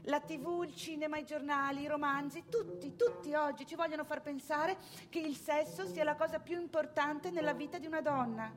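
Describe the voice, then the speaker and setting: A woman's voice: high voice, fairly even intonation, brisk, soft background music, lightly acted. An actress playing a proud, anxious, self-righteous married mother in an opinionated theatrical monologue full of rhetorical questions. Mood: indignant, scornful.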